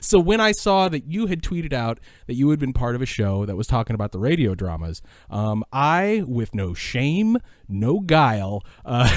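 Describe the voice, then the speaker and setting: A man's voice: medium pitch, a little mellow, no room sound, clean studio sound. A man chatting casually as a podcast guest, talkative and self-mocking, with theatrical turns of phrase. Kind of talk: casual chat.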